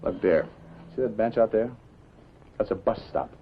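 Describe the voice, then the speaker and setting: An actor with an American accent playing a wry, unafraid city newspaper reporter in a 1950s television drama. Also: male voice, lowish voice, normal speed, slight background noise, close to an everyday voice. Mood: soothing, firm. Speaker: actor in lead role. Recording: dull 1950s TV soundtrack, music behind.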